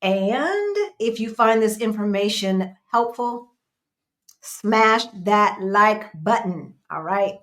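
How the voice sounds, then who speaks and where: A woman's voice: medium pitch, lively intonation, slow pace, fairly bright tone. Chatty woman cohosting an interview show, upbeat, playful little jokes, middle voice. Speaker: co-host. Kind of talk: casual interview chat.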